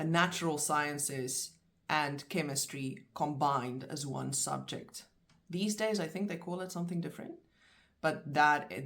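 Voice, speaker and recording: A middle-aged South African woman, a native Afrikaans speaker, teaching vocabulary and saying each word slowly and clearly. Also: low-pitched. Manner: slow, clear word-by-word pronunciation. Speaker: language teacher. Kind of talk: vocabulary lesson.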